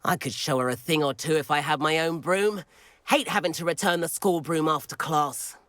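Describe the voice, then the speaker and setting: A man's voice: on the high side, normal speed, pushed and bright, no room sound. An actor voicing a friendly, chatty teenage boy student in a video game.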